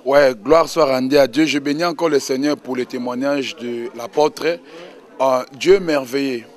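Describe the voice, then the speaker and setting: A man's voice: mid-range voice, a little slow. A man from the Congo with a Congolese accent, an apostle giving an emphatic personal testimony in a religious interview. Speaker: apostle, church minister.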